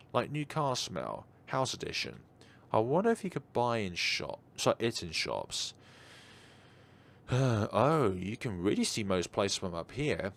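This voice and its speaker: A man's voice: lowish voice, fairly bright tone. A man reading a visual novel aloud as narration, with casual side remarks and the odd stumble he corrects.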